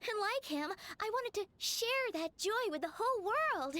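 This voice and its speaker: A woman's voice: very high voice, expressive, unhurried, bright, forceful tone, dry recording, exaggerated voice. A woman playing a shy, earnest, nervous young woman who hopes to be a bard, hesitant and apologetic, in an anime game. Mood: wistful. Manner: hesitant, apologetic, breaks off and restarts. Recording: game music behind.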